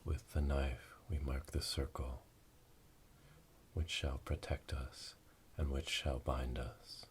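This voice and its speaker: Guy doing whispery asmr witch ritual, super deep n slow, solemn, faint rumble behind. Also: level tone. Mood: solemn, hushed. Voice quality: breathy, whispering. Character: solemn witch elder leading an initiation rite. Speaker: ASMR roleplay performer. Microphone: close binaural microphone. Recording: close binaural, rumbling noise, clicks.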